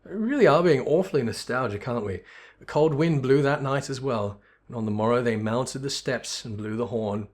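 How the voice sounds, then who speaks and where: A man voicing a solemn, nostalgic storyteller in a fantasy game, with measured, literary, wistful delivery. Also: mid-range voice, lively intonation, darkish tone, lightly acted. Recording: music behind the voice.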